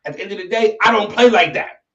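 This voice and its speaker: Host, male, late thirties, rambling street-casual delivery, slightly high, dull sound, a bit off-mic. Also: fast, far from the mic, crowd noise. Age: 30s. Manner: loose, rambling, lots of you know what I'm saying. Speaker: podcast host. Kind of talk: casual conversation.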